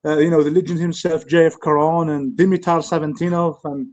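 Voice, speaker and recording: Man, North African French accent, mellow tone, halting non-native English, casual guest chat, dull lo-fi stream audio. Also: on the high side, fairly even intonation. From Algeria. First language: not English. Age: middle-aged. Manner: halting, repeats words and says "you know" constantly. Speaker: guest, strongman athlete and promoter.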